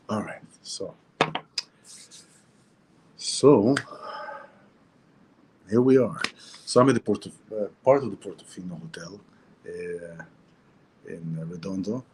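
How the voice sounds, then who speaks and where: A man's voice: low voice, measured pace, pauses often, noisy background. A man with an Israeli Hebrew accent hosting a casual weekly Torah talk indoors at a seaside hotel, reflective, repeating phrases and restarting often.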